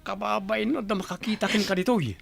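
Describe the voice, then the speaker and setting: An actor playing a callous, irritable husband in an Ilocano radio drama, scornful and dismissive, with an Ilocano accent. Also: male voice, sits high, fairly even intonation, a little fast, vocal fry. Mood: contemptuous.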